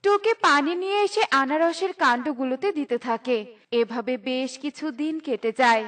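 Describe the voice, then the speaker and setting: High-voiced woman narrating a children's story. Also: normal speed, fairly bright tone.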